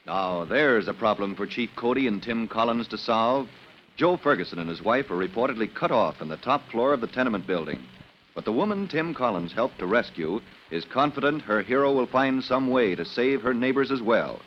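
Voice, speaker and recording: Animated male old-time radio announcer. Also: mid-range voice, moderate pace. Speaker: announcer, narrator. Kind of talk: scripted 1940s-style radio serial announcing. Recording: dull old radio recording, faint noise.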